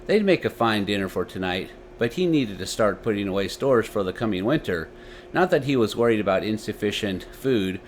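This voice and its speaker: A man's voice: lowish voice, expressive, brisk, slight background noise. A man hosting a story series and narrating tales dramatically into a desktop microphone. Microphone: desktop USB microphone.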